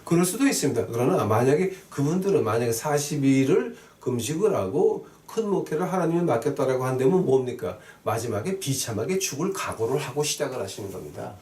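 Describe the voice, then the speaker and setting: A man's voice: mid-range voice, expressive, a little fast, hardly any pauses, mellow. A man preaching as a pastor, emphatic, repeating key phrases and putting questions to his listeners. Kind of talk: sermon with scripture readings and rhetorical questions. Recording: roomy echo, distant mic, faint noise.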